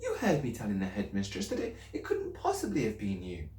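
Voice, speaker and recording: A man reading aloud and voicing a kind young woman schoolteacher who speaks gently and patiently. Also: on the low side, wildly swooping pitch, a little fast. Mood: gentle. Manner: gentle, patient, softly questioning. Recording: roomy, distant mic.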